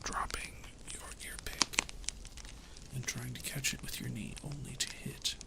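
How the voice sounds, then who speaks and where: Slow, gravelly ASMR streamer of indeterminate sex. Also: medium pitch, fairly even intonation, low-quality recording. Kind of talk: casual ASMR livestream chat. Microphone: close ASMR microphones.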